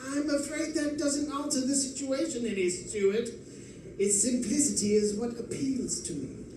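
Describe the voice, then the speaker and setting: An actor playing a gleeful, erudite criminal mastermind in an old-time radio drama, florid and condescending in manner. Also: male voice, extremely high pitch, fairly even intonation, normal speed. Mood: smug, scheming. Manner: theatrical, condescending, chuckling, florid. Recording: distant, very echoey live stage recording, faint noise.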